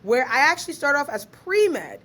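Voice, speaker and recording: A fairly high-voiced young woman talks with a podcast host. She is lively and slangy and laughs often. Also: moderate pace. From Ghana, raised in Maryland. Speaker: guest, author and researcher. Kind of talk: casual podcast interview.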